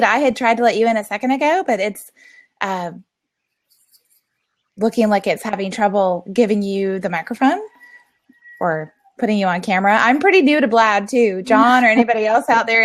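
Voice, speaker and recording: A woman speaks as the host of an online meetup, at a middle pitch. Her delivery is casual and chatty, like someone running an informal live video chat.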